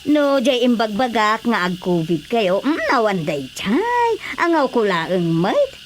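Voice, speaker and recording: Woman acting high-pitched, showy neighbourhood gossip. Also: big pitch swings, a little hiss or hum. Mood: teasing, breezy. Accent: Filipino. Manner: gushing, teasing, drawn-out exclamations. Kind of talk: radio drama comedy acting.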